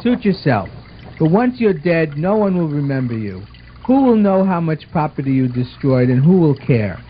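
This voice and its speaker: A man's voice: a little high, very animated, moderate pace. A man voicing an earnest, orderly twelve-year-old boy in a scripted puppet show, explaining things in a bossy, affectionate way. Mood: matter-of-fact. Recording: muffled amateur recording with watery noise.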